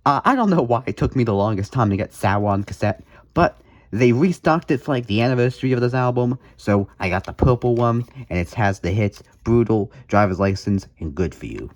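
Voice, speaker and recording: A man's voice: mid-range voice, lively intonation, fairly quick, darkish tone, dry recording. A man hosting a music collection video, talking casually through his items in a playful, jokey way with mock emphasis. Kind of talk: casual show-and-tell vlog commentary.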